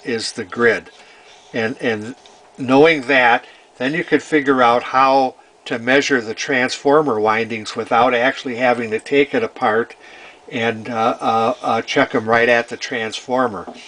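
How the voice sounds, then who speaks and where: A man giving an informal, hands-on technical explanation as a repair hobbyist, methodical but halting, often repeating phrases. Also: medium pitch, fairly bright tone. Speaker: vintage radio repair hobbyist presenting.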